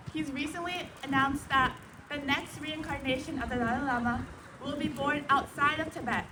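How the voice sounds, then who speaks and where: Woman, earnest public speech, outdoors, distant mic, noisy. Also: high-pitched. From Seattle, USA. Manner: earnest, addressing a crowd. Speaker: event speaker. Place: outdoor park gathering.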